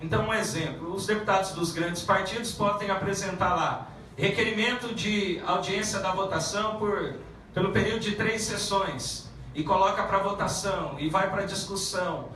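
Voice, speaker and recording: A man who is a federal deputy giving a halting public speech at a meeting, with many restarts and fillers. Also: high-pitched, bright, forceful tone, a little hiss or hum. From Paraná, Brazil. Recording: echoey room from far off.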